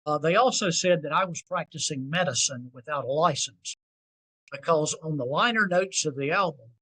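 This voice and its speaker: A man in his sixties with a Southern US accent, a podcast guest telling stories in an animated, self-deprecating way. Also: fairly high pitch, moderate pace, rough recording. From North Carolina. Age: 60s. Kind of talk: storytelling interview.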